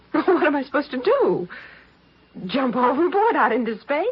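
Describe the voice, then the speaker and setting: A woman's voice: high-pitched, big pitch swings, slow pace, exaggerated voice. An American woman playing a naive, impulsive eighteen-year-old stowaway, hesitant and pleading, in a 1950s radio drama. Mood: confused, anxious. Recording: dull, lo-fi 1950s radio recording.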